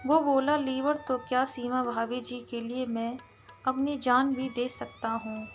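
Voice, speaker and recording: Slow, high-voiced woman storyteller. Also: fairly bright tone. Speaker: storyteller, channel narrator. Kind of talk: scripted story narration. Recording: music behind the voice. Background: background music.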